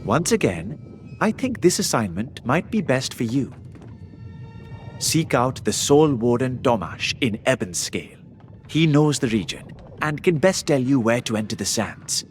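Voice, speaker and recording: An actor playing a calm, courteous quest giver in a game, formal in tone. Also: male voice, medium pitch, a little slow, soft background music. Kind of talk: video game cutscene acting.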